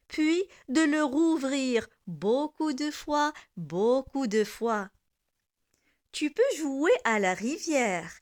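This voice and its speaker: A woman reciting a children's poem in a deliberate, measured manner, with pauses between phrases. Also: sits high. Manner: deliberate, with repeated lines and pauses. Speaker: poem reciter for primary school learners.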